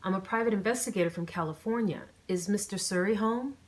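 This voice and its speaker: A woman's voice: mid-range voice, quick pace, natural voice. A woman reading the part of a courteous male private investigator in an audiobook, polite and slightly hesitant.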